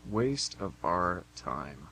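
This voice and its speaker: A man's voice: sits low, big pitch swings, drawn-out words, gravelly, sharp tone, heavily acted. A man playing a cocky, flippant young unemployed slacker in an amateur radio play, with a sardonic delivery. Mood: scornful. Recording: amateur radio, faint background hum.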